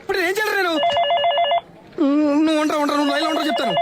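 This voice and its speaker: A man's voice: extremely high pitch, racing, very noisy. A man playing an irritable news boss in a film comedy, barking curt orders. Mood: irritated, curt. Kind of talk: Telugu film comedy acting.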